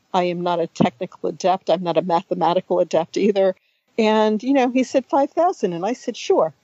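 A middle-aged woman, a native Hungarian speaker raised in the United States, talking about her writing in a relaxed, chatty interview with many restarts and asides. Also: fairly low pitch, dry recording, muffled recording. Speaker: author and guest.

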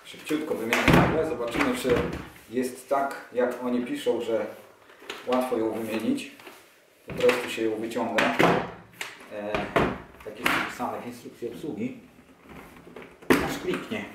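A man's voice: medium pitch, level tone, unhurried, long pauses. Chatty man in an echoey room. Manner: chatty, enthusiastic, thinking aloud while handling gear. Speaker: motorcycle vlogger. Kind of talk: casual unboxing vlog. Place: small indoor room, seated at a table. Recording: echoey room, distant camera mic, audible handling noise.

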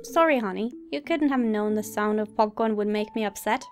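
A woman reading out a gentle, apologetic husband from a video game's dialogue. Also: mid-range voice, a little fast, over music, natural voice. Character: contrite, gentle war-weary husband.

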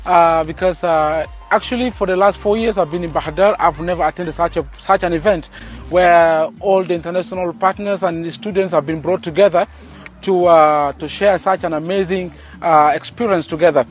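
A young student speaks outdoors on a campus in a fairly high voice for a man. He is reflective and repeats words while thinking. Faint music sits under him. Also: steady tone, moderate pace. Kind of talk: interview answers.

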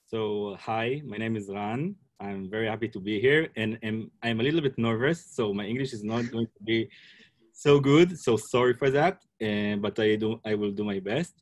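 A young man with an Israeli accent, a native Hebrew speaker, speaking on a video call panel, halting and searching for words. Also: lowish voice, dry recording. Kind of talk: casual panel talk. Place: office room, indoors. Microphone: laptop webcam video call.

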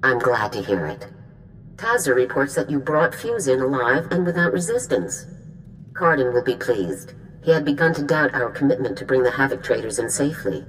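A woman playing a stern, composed army general in a video game, speaking in a measured, formal, authoritative way. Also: a bass voice, big pitch swings, a little hiss or hum, low-quality recording. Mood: measured approval. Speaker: military general.

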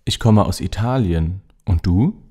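A man with a very low, dark voice reads practice dialogues for learners. His reading is plain and measured. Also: animated, husky. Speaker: dialogue reader in a lesson.

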